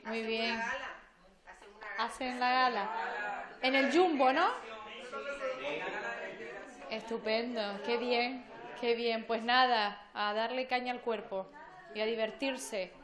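Echoey, high-voiced woman addressing a room. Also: steady tone, slow pace, pauses often, a crowd in the background. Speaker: speaker at a community event. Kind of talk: informal speech to an audience. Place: medium-sized indoor hall. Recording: echoey room, audience sounds.

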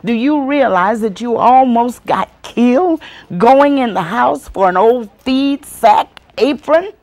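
A woman's voice: mid-range voice, a little slow, dark tone, slightly rough. A woman storyteller voicing a frightened, angry aunt who scolds sternly and hammers out exclaimed questions. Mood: angry disbelief. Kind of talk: dramatic oral storytelling.

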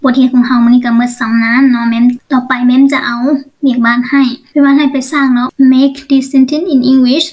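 A woman's voice: high-pitched, little pitch movement, fairly quick, hardly any pauses, darkish tone. A woman with a Lao accent teaching English in an online lesson, explaining step by step and often asking check questions.

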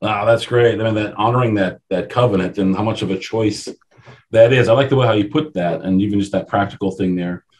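A man's voice: fairly low pitch, a little fast, a little hiss or hum. A man hosting a radio interview, asking questions and affirming his guest. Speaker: radio show host.

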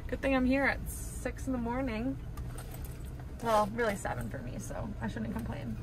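A woman's voice: on the high side, steady tone, normal speed. A young American woman from Los Angeles chatting casually to a camera inside a car. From Los Angeles, USA. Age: young adult. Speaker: vlogger. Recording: clearly noisy car interior recording.

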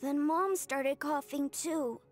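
A sickly little orphan boy from a game, his high voice soft and innocent. He speaks slowly and sweetly. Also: faint music behind. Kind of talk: game voice acting.

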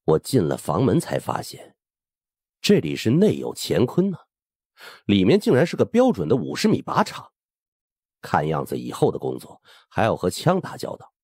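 Male audiobook narrator for suspense fiction: deep, highly expressive, dramatic storytelling. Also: moderate pace, husky, dry recording, clean studio sound. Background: background music.

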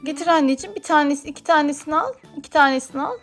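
A woman's voice: high-pitched, racing, a bit bright, soft background music. A woman talking as a mother playing toys with her child, narrating the play in a coaxing, encouraging way.

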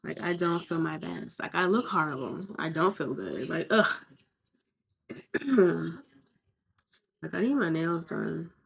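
A woman's voice: on the low side, slow pace, creaky, pauses often, slightly rough. A young woman, congested from covid, chatting bluntly and swearing freely in a casual vlog. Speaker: vlogger.

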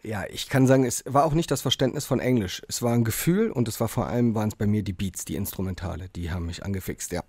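A man's voice: fairly low pitch, fast talker, few pauses, a little mellow, slightly rough, no room sound. A man with a Berlin-Brandenburg accent, a musician guest chatting casually in a radio interview, with lots of fillers and repeated agreement. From Brandenburg, Germany.

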